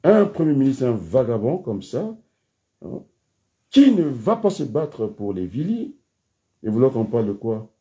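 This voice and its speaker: A man giving a rambling political monologue, hesitant with many fillers, then bursting into emphatic high outbursts. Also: sing-song, a little slow, warm tone. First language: French. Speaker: political commentator.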